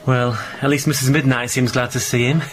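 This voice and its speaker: A man acting a mild, confiding hotel worker in a radio drama, gently wry about the guests. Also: medium pitch, steady tone, brisk, close to an everyday voice. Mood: gossipy. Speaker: hotel worker, friend of the lead.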